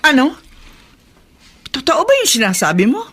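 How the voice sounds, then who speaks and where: Radio drama, actress as a probing, suspicious grandmother, high-ish voice and lively intonation. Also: normal speed, music in the background. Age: older. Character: suspicious older mother and grandmother.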